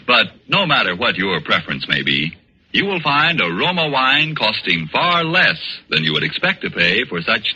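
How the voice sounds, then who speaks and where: A man's voice: lowish voice, sharp tone, husky. A man announcing a 1940s radio commercial with a polished, emphatic sales delivery. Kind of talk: 1940s radio advertisement read. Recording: muffled vintage 1940s radio recording.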